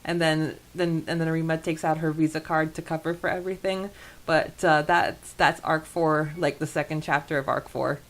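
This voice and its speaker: Giggly, lower-pitched female podcast co-host. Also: fairly even intonation, moderate pace. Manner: enthusiastic, giggly, frequent laughing. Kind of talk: casual discussion recapping a fan fiction chapter.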